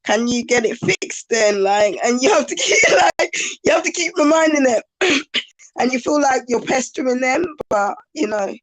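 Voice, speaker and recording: Woman, slightly hoarse, higher voice, chatty, video call. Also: expressive, normal speed, rough recording. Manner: chatty, laughs easily, repeats words. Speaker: interview guest. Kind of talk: casual video-call conversation.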